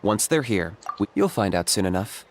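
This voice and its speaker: A man playing a cool-headed, pragmatic young hunter in a video game, speaking in a measured, dry, matter-of-fact way. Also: lowish voice, big pitch swings, crowd noise. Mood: businesslike. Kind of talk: video game character voice acting. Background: game music.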